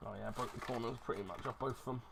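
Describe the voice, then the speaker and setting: A man's voice: mid-range voice, very fast, creaky, noisy background. A man chatting casually in his own vlog, talking in a rambling, self-deprecating way with many restarts as he thinks aloud. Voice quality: plain, unremarkable voice. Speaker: YouTuber reviewing ration packs. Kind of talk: casual unscripted vlog chat.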